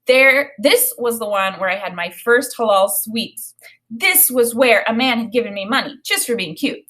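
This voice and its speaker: A woman teacher reading a children's story aloud in an expressive, dramatic way, pausing to comment for learners. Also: fairly high pitch.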